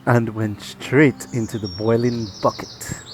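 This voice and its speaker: A father reads a children's bedtime story aloud, gentle and steady. His voice sits in a plain middle range. Faint music plays underneath. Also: mid-range voice, a little slow.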